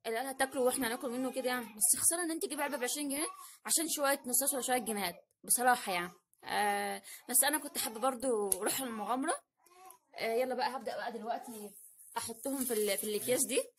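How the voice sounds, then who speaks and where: A woman with an Egyptian Arabic accent chatting casually as host of an unboxing vlog, repeating phrases for emphasis in an animated way. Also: fairly high pitch, racing. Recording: noisy home recording, crinkling packets.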